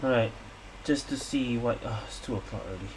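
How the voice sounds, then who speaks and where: A man's voice: mid-range voice, brisk, heavy background noise. A man giving casual game playthrough commentary as a streamer, reacting and thinking aloud. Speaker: gaming streamer. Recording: loud game music behind.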